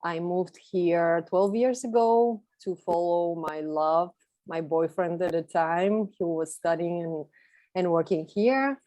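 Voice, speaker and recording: A woman's voice: on the low side, slow, warm tone, no room sound. Woman, close dry sound. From Bulgaria. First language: Bulgarian. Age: 30s. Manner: chatty, enthusiastic, laughs lightly while talking. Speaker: guest speaker, photographer. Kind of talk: informal personal talk to an online group. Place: online video call.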